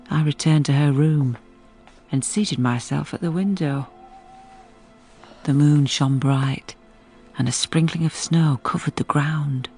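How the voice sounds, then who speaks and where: Stern, loyal housekeeper-narrator, low register with some creak, measured gothic storytelling. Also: female voice, darkish tone. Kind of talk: dramatic first-person audio drama narration.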